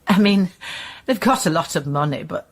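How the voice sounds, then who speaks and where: A woman's voice: fairly low pitch, expressive, moderate pace. A woman acting a guarded, scornful, reluctant informant with clipped delivery in a radio crime drama. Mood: guarded disdain. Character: resentful, disdainful woman, reluctant police informant.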